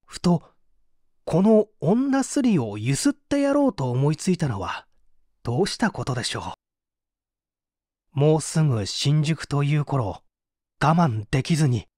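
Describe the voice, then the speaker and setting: An actor playing a poor, contrite painter making an earnest, theatrical confession in a dramatic audio mystery. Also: male voice, fairly high pitch, darkish tone, no echo, studio quality. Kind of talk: dramatic Japanese audio reading of a mystery story.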